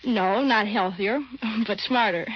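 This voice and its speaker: A woman's voice: medium pitch, takes it slowly, husky. An American woman, an army sergeant, being interviewed on a 1940s radio broadcast. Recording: dull vintage 1940s radio recording.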